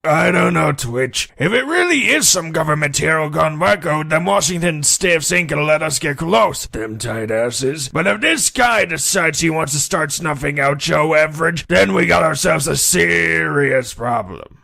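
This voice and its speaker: A man voicing a gruff, cynical male police detective who talks in blunt tough-guy slang. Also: on the high side, animated, moderate pace, hardly any pauses, bright, forceful tone, full character voice.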